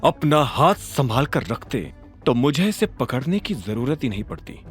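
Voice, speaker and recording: A man's voice: medium pitch, sing-song, moderate pace, husky, faint music behind. A man voicing a defiant, self-assured young employee in an audio drama, sardonic and confrontational. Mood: cool. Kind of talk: Hindi audio drama acting.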